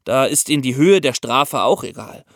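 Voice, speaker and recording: A man's voice: medium pitch, lively intonation, normal speed, vocal fry, fairly bright tone, no echo, clean studio sound. A man co-hosting a podcast for young listeners, explaining ideas step by step. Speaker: podcast co-host explaining law.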